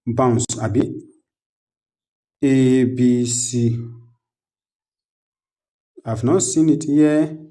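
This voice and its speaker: A man's voice: mid-range voice, drawn-out words, long pauses, mellow. A man hosting a step-by-step screen tutorial, narrating each click aloud in a repetitive, instructional way. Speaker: tutorial host.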